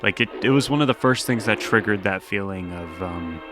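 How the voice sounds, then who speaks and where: A man with a deep voice hosts a reaction video in a casual, laid-back way. He muses aloud over clearly audible music. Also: brisk. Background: music clearly behind the voice.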